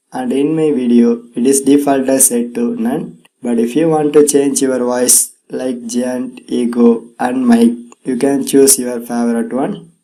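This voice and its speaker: A man's voice: medium pitch, dark tone, low-quality recording. A man hosting a tech tutorial in scripted narration, with non-native English phrasing.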